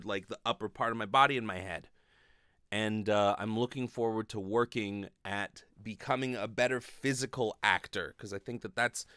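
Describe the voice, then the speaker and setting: Low-pitched man rambling casually, close-miked. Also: a bit bright, smooth, dry recording. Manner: informal, sweary, hesitant with frequent filler "like". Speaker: podcast host, actor and acting teacher. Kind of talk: casual rambling monologue to camera. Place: small bedroom, indoors. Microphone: close studio microphone on a stand.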